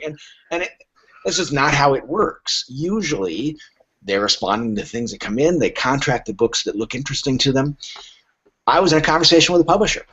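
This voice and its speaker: A man speaking casually as a literary agent guest on a podcast, telling stories animatedly and acting out bits of dialogue. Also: a little high. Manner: animated storyteller who acts out imagined dialogue. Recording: dull, lo-fi podcast audio.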